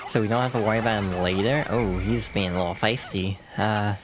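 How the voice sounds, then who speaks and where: A VTuber streamer with a hoarse, froggy voice from allergies, chatting casually while playing a game and laughing at their own slips. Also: sits low, fairly even intonation, brisk, background noise. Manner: chatty and self-deprecating, many fillers, laughs through words.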